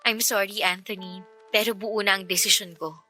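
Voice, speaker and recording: A woman's voice: mid-range voice, fairly even intonation, moderate pace, sharp tone, faint music behind. A woman playing a self-sacrificing young working woman in a Tagalog radio drama, earnest, emotional and firm. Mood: sorrowful, firm.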